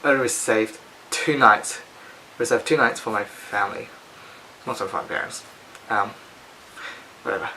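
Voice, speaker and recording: A young man with an Australian accent talking casually and reflectively to his vlog audience in a hesitant, halting way. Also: lowish voice, vocal fry, bright, forceful tone, a little hiss or hum, rough recording. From Australia (Adelaide). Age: young adult. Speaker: vlogger.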